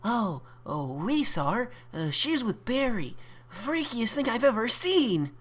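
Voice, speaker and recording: A man voicing a dim-witted, gentle male pegasus colt who speaks in a halting, stammering way. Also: extremely high pitch, very animated, pitched above the performer's own voice, heavily acted. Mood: excited.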